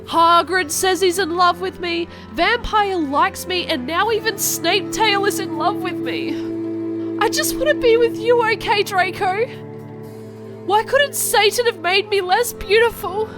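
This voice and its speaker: A woman playing a self-absorbed teenage goth girl, narrating an animated fan fiction in a melodramatic, whiny way. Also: very high voice, unhurried, music in the background.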